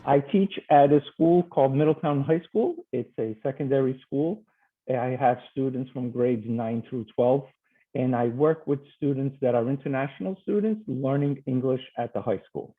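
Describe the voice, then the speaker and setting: A man's voice: mid-range voice, moderate pace. A man from Portugal, a teacher presenting slides over a video call in a friendly, hesitant way with many fillers. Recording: tinny video call line.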